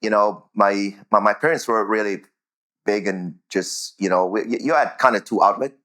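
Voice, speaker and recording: Jamaican guy, lowish voice, chatting in an interview, stumbles and repeats words a lot. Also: steady tone, bright, forceful tone. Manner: halting, repeats words, often tags phrases with "right?". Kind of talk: conversational interview answers.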